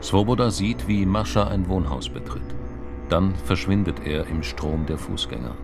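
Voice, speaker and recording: A man's voice: low voice, lively intonation, normal speed, gravelly, over music. A man delivering sober, terse scripted narration for a radio drama.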